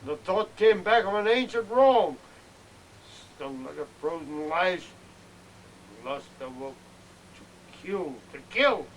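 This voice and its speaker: High-pitched man reciting a frontier ballad. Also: animated, takes it slowly. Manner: theatrical, with emphatic swells and hushed drops. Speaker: amateur poetry reciter. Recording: noisy amateur VHS home video.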